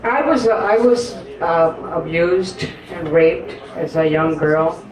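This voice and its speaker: A woman's voice: lowish voice, darkish tone. Woman poet telling her own story on a live stage, earnest and hesitant, recorded from afar in a roomy hall. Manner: halting, earnest, with frequent pauses and fillers. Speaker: poet and guest. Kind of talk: personal storytelling in a live interview. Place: live stage event in an echoey room. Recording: echoey room from a distance.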